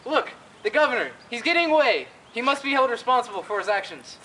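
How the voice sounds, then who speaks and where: A man's voice: extremely high pitch, very animated, quick pace, sharp tone, full character voice, rough recording. A man playing a fiery revolutionary leader in an amateur stage play, rallying in a rousing, declamatory way. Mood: triumphant. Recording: amateur recording with faint background noise.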